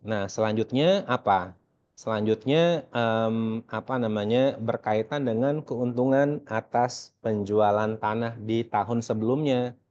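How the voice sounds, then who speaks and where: A man's voice: mid-range voice, a little slow, darkish tone. An Indonesian man lecturing conversationally through a worked exercise, often asking questions and answering them himself. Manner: conversational, asks and answers own questions, frequent check-in tags. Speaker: accounting lecturer. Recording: dull, lo-fi voice recording.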